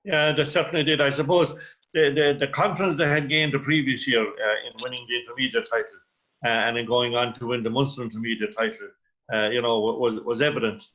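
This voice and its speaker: A man's voice: mid-range voice, little pitch movement, a little fast, a bit bright. Irish man on dull, lo-fi link. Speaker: sports pundit, guest. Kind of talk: conversational sports discussion.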